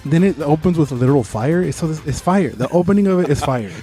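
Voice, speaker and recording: A man's voice: fairly high pitch, expressive, fast, mellow, soft background music. A man co-hosting a podcast, chatting casually about movies with slangy, enthusiastic fan talk. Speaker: podcast host. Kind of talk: casual movie review chat.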